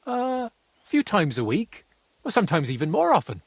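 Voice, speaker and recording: A naive young apprentice from a medieval video game. His voice is high and husky, with lively swings of pitch. He talks artlessly and falters when pressed. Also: normal speed, dry recording, full character voice. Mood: earnest. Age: young adult. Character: naive, simple-hearted young craftsman's apprentice. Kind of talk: video game dialogue acting.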